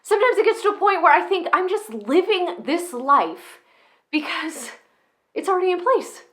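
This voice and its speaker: A woman's voice: extremely high pitch, big pitch swings, moderate pace, a bit bright, voice pushed up, full character voice. A woman performing a comedic monologue as an exasperated, sarcastic, weary parent ranting emphatically in an existential spiral. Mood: despairing, frantic.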